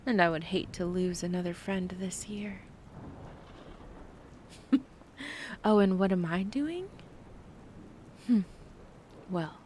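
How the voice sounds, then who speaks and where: A woman performs an ancient vampire kidnapper for an ASMR audio drama. She speaks very slowly, toying with the listener through sweet endearments and theatrical condescension, seductive and threatening, often sinking to a breathy murmur. A faint rumbling noise sits behind her. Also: mellow. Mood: coolly condescending. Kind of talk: ASMR roleplay acting.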